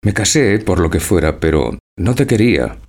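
A man's voice: low-pitched, very animated, moderate pace, a little mellow, heavily acted. A man playing a timid, besotted older newlywed husband in a radio drama, earnest and hesitant. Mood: earnest, adoring. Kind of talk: stage-like radio drama acting.

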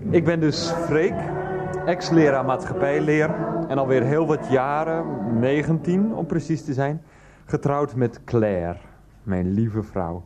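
An actor playing a middle-aged, feckless ex-teacher in a radio play, placating and hesitant, drawing out phrase endings. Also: male voice, on the high side, slow, a little mellow, soft background music. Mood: earnest, sheepish.

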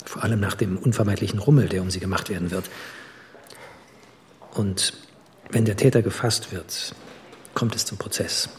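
An actor playing a sober hospital doctor in a radio play, measured, frank and considerate. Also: male voice, lowish voice, slight background noise, lightly acted. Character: sober hospital doctor advising a patient's husband.

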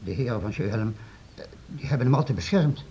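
An actor playing a timid, deferential castle servant in a period radio play, hesitant, evasive and stammering. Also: male voice, mid-range voice, lively intonation, a little fast, mellow, close to an everyday voice. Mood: wary. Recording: dull, noisy old radio recording.

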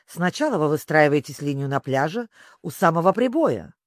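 A storybook reader puts on a brisk griffin creature. It is bossy, blunt and given to loud interruptions, with lively swings in pitch in a dry, close recording. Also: no room sound, close to an everyday voice. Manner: matter-of-fact, interrupting, shouting.

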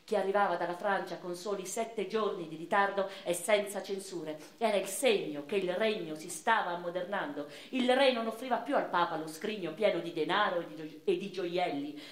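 An actress playing a weary, passionate Neapolitan noblewoman revolutionary, delivering an intense, theatrical radio monologue with deliberate pauses. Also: medium pitch, close to an everyday voice. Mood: proud, animated. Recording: roomy echo with music underneath.

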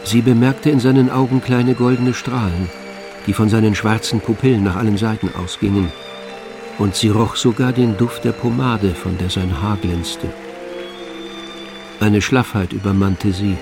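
An actor playing the narrator of a literary radio drama, telling the story in a measured, sober, intimate way. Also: male voice, lowish voice, lightly acted. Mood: measured, sensual. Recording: music behind the narration.

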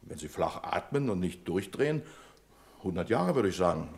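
An actor playing a proud, wry bank official in a radio play, dry and authoritative, quick to turn indignant. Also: male voice, low-pitched, very animated, gravelly, a little mellow, heavily acted. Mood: wry, assured. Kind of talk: German radio play acting.

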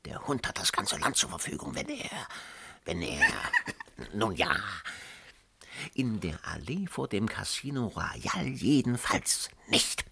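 An actor playing a self-important, fussy justice minister in a radio drama, secretive and pompous, with a breathy, raspy voice. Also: male voice, on the low side, wildly swooping pitch, takes it slowly, pushed and bright, slight background noise, exaggerated voice. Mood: pompous, amused. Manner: secretive whispering breaking into pompous exclamation.

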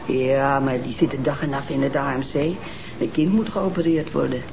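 An actress playing a bitter, self-pitying elderly woman who complains in a drawn-out way, in a Dutch TV detective drama. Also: extremely low pitch, gravelly, background noise. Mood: aggrieved. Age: older.

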